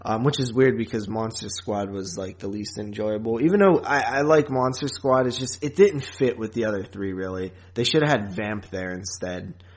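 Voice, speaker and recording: A man's voice: lowish voice, normal speed. A man hosting a podcast in casual chat, rambling along informally and chuckling often. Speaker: podcast host. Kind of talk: casual chat about horror movies and podcasting.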